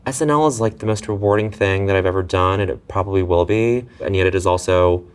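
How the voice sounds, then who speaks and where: A man in his thirties, a comedian guest chatting playfully in a makeover studio, quick and full of likes and restarts. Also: low-pitched, smooth. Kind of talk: playful casual chat.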